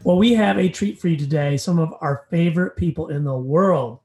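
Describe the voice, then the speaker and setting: A man's voice: on the high side, a little fast, mellow, soft background music. Upbeat male podcast co-host, echoey room. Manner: upbeat, rambling with fillers. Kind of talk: casual podcast chat and sponsor read.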